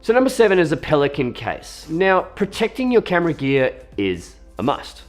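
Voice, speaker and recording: Hoarse young Australian man, lively tone. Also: on the high side, normal speed, faint music behind. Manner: chatty, upbeat, with fillers and asides. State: hoarse from a cold. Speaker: video creator reviewing camera gear. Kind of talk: casual talk to camera.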